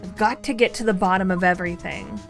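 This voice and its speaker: A woman's voice: lowish voice, fast, soft background music, lightly acted. A woman reading the lines of an earnest young male defense attorney from a game, with a determined, plain delivery. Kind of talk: reading game dialogue aloud on stream.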